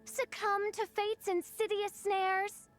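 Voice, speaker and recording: A self-dramatising young princess from a video game. Every phrase is pompous and theatrical in a very high voice, over faint music. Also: female voice, level tone, takes it slowly. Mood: grandly lamenting. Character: theatrical, grandiose teenage princess of doom.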